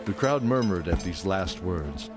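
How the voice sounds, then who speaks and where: A man's voice: low-pitched, lively intonation, a little slow. A man narrating a scripted fantasy audio drama. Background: loud music.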